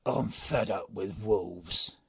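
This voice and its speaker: A man acting storybook bandit boss, male, gruff, boastful, indignant, husky edge, drawn-out delivery. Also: deep voice, a bit bright, no echo, voice pushed down, full character voice. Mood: fed up. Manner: blustering, emphatic, indignant. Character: gruff, boastful, easily offended male brigand chief. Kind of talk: storybook character voice.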